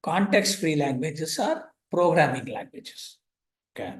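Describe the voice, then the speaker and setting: A man's voice: on the high side, sing-song, a little slow, echoey room. An older man from India with an Indian English accent and Kannada as his first language, giving an online lecture with dry asides. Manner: explanatory, pointing at slides, dry asides. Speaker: visiting professor.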